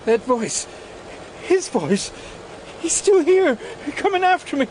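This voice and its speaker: A frightened, paranoid man in a radio drama. His high voice swings wildly, agitated and pleading. Clear traffic and vehicle noise runs behind him. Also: big pitch swings, slow pace, heavily acted. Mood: panicked. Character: terrified, paranoid man fleeing in a taxi.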